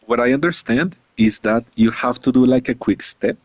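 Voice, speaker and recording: A man's voice: mid-range voice, moderate pace, dry recording. A man commentating a fighting game tournament in English, which is not his first language, explaining tactics at length with frequent restarts. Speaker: esports commentator.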